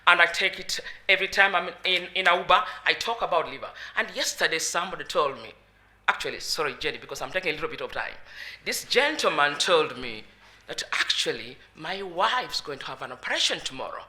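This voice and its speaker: Loud, forceful woman at a conference. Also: sits low, lively intonation, a little fast. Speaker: public governance advisor. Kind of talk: conference talk. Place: conference hall.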